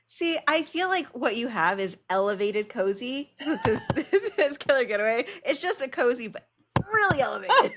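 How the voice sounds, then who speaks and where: A woman's voice: sits high, very animated, a little slow, fairly bright tone, a little hiss or hum. A woman hosting a casual interview, talking in an informal, encouraging and enthusiastic way. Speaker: podcast host.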